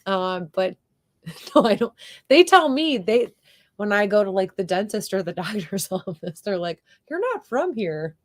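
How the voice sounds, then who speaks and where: Lively Buffalo-accented woman co-host. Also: mid-range voice, a little slow. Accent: Buffalo, New York. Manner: laughs easily, animated. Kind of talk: casual livestream chat.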